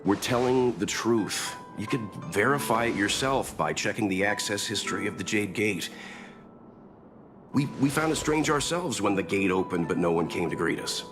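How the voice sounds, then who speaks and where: A man voice actor playing a calm, mature gentleman leading travelers in a video game, measured and earnest as he explains. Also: on the low side, over music, lightly acted.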